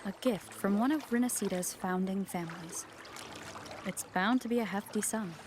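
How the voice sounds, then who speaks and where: A woman's voice: medium pitch, normal speed, natural voice. A woman playing a practical, self-assured fantasy traveller in a video game, speaking matter-of-factly. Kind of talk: scripted video game dialogue. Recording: game music and sounds behind voice.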